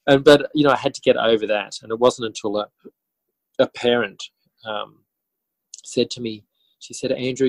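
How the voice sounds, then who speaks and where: An Australian man with a lowish voice talks as an interview guest. He is reflective and conversational, pausing and rephrasing as he goes. The sound is fairly dry. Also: no room sound, rough recording. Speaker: interview guest, author and teacher. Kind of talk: conversational interview answers, telling his story.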